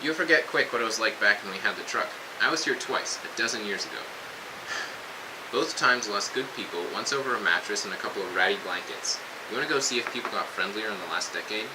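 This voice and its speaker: A man's voice: lowish voice, brisk, natural voice. A man playing a weary, cautious soldier in a post-apocalyptic audio drama, speaking in terse military radio talk. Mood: wary, commanding. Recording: steady hiss, noisy radio-style recording.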